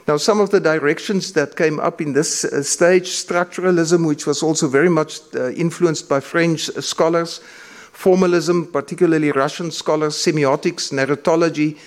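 Halting Afrikaans-speaking male lecturer. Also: on the high side. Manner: measured, halting with frequent fillers and restarts. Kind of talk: academic lecture.

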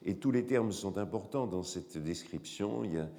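A man with a cold lecturing in a hall, his voice hoarse, his speech halting with frequent pauses and fillers. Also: sits low, warm tone. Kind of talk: academic lecture.

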